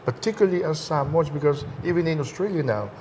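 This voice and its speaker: A man hosting an interview, friendly and halting, restarting his questions as he goes. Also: a little high, lively intonation, darkish tone, a little hiss or hum. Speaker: host. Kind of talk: casual interview talk.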